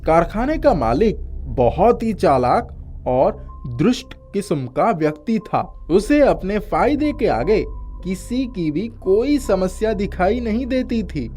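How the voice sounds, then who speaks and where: A man's voice: high voice, sing-song, takes it slowly, warm tone, faint music behind. A man narrating a scripted moral story as a storyteller.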